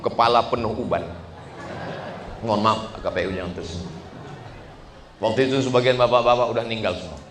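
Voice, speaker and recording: A man in his forties giving a lively sermon to a crowd, asking rhetorical questions and swinging between loud and hushed delivery. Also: lowish voice, noisy background. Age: 40s. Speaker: preacher. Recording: echoey hall with faint ambience.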